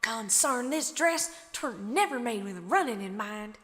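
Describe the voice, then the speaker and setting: Folksy Southern farm pony mare, acted by a woman, stubborn, bright and animated cartoon delivery. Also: fairly high pitch, a little slow. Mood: flustered. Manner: folksy, exclaiming. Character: stubborn, plain-spoken country farm mare.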